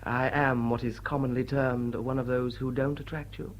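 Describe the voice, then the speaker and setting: An actor playing an urbane, easily piqued lord with a formal, dryly flirtatious manner in a vintage drawing-room radio comedy. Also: male voice, medium pitch, level tone, normal speed, natural voice. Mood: cool, piqued. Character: suave, indifferent English lord, womanizing, easily piqued.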